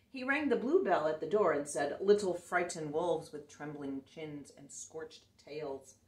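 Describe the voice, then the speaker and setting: A woman reading a picture book aloud to children in an animated, expressive way, recorded in an echoey room a little away from the mic. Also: mid-range voice, far from the mic. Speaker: children's storyteller.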